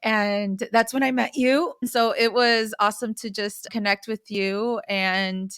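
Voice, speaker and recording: A woman's voice: mid-range voice, fairly even intonation, a little slow, fairly bright tone, smooth. Earnest woman podcast host. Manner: earnest, mixes Spanish words into English. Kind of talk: scripted intro then casual interview.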